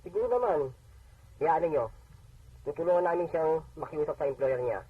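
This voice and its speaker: A man's voice: a little high, lively intonation, normal speed, no room sound. A man acting a friendly, sympathetic recruitment agency staffer in a conversational radio drama style. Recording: muffled, husky-sounding AM radio playback.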